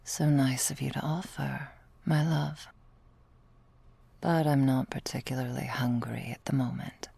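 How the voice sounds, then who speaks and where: Woman doing a tender vampire girlfriend, super deep breathy whisper, really gravelly, asmr roleplay. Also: mellow, no room sound, exaggerated voice. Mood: teasing, languid. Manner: tender, languid, formal old-fashioned phrasing. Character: loving, patient, ancient female vampire girlfriend.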